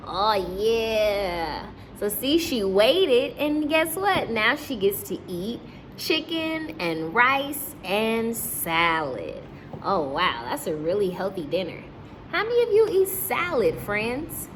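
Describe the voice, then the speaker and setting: A woman teacher hosting a children's storytime, speaking to young listeners and caregivers in an animated, encouraging way. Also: on the high side, slight background noise.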